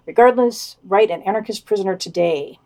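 A woman reads a prepared news digest as a podcast co-host. Her voice sits at a middle pitch, and she moves between quoted passages and her own written commentary. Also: brisk, dark tone.

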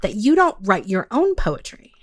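A woman's voice: mid-range voice, huge pitch swings, normal speed. A woman hosting a podcast, moving between reading a prepared piece and chatting. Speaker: podcast host. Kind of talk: reading an essay and chatting with a guest.

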